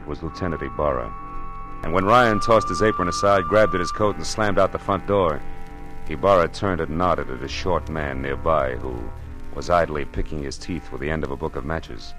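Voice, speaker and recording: A wry, deep-voiced private eye. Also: male voice, normal speed, music in the background. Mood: narrating. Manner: hard-boiled, wry first-person narration. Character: tough wisecracking male private eye. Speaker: lead actor and narrator. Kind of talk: 1940s radio drama acting.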